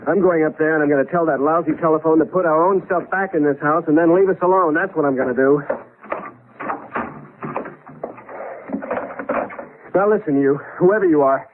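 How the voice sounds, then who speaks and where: An actor with an American accent playing a wry, easily flustered lawyer husband in a 1970s radio drama. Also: male voice, fairly high pitch, fast, few pauses, phone-quality sound, slight background noise, lightly acted. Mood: angry, determined. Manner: wry, exasperated, stammers when alarmed. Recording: band-limited old radio broadcast.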